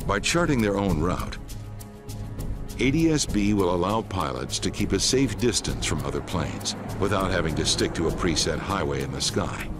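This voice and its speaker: Deep-voiced male documentary narrator over music. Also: very animated, normal speed. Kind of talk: scripted narration. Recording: music under the voice. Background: background music.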